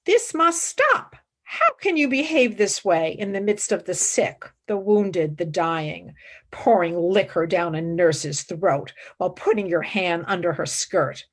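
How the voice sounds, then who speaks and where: A woman playing an earnest, principled young philosopher and wartime nurse in a radio play, with measured, emphatic delivery. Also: on the low side, lively intonation. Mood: indignant. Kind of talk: dramatic radio play acting.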